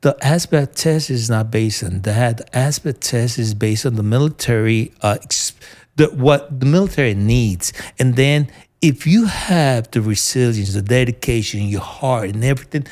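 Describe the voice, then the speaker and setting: Middle-aged veteran, New York accent, earnest, halting delivery with fillers. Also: male voice, medium pitch, darkish tone. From United States. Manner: earnest, searching for words with fillers and restarts. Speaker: military veteran guest. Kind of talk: conversational interview.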